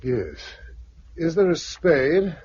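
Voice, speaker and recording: An actor playing a kindly, lonely English survivor in a 1950s radio drama, well-spoken and gentle as he narrates and talks. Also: a little high, sing-song, slow, slightly rough, exaggerated voice. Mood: gentle, consoling. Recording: muffled, hissy 1950s radio recording.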